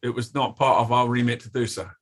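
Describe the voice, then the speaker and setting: An actor playing a defensive, evasive company safety official testifying at an inquiry in a play reading, formal and guarded. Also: male voice, medium pitch, fast, natural voice. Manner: guarded, formal, clipped denials with hesitant hedging. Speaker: actor in an online table read.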